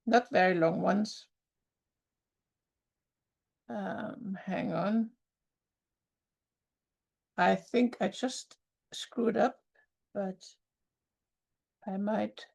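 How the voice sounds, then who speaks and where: A woman reads her poems on a video call, taking her time over each word. Her voice is strongly gravelly and sits a bit high. She breaks off for halting asides, then goes back to a measured reading. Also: a little mellow. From Germany. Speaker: open mic poet. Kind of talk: poetry reading at an online event.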